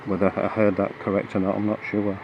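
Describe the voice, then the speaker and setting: A man with a low, level voice made croaky by a lingering cold talks while riding his motorbike. He rambles through the route with frequent ums and self-corrections. Steady engine and wind noise sit behind him. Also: fast, highs cut off. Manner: hesitant, many fillers and restarts. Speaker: motorcycle vlogger. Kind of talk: rambling ride commentary. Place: on a motorcycle on the road.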